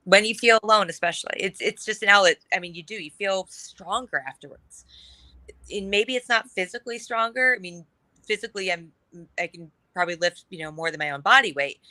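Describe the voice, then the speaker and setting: A woman's voice: medium pitch, brisk, pushed and bright, no room sound. A middle-aged woman speaking as a guest in a casual talk-show conversation, candid and emphatic, running her thoughts together in long stretches.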